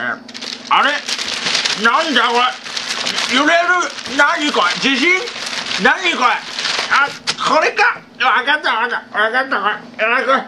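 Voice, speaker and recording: Man voicing a forgetful old grandfather with dentures, mumbling to himself, wobbly sing-song pitch, comedy sketch. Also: background noise. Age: older. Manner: mumbling, talks to himself, chuckles at his own jokes.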